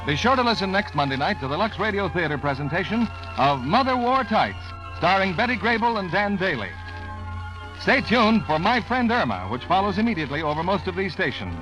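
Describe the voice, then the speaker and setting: Lively American radio announcer over music. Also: male voice, high voice, brisk, low-quality recording. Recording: vintage radio broadcast with music.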